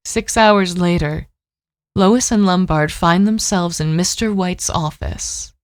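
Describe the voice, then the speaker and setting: A woman's voice: fairly low pitch, takes it slowly, no room sound, studio quality. A woman narrating an audio drama, reading scripted scene descriptions in a composed way.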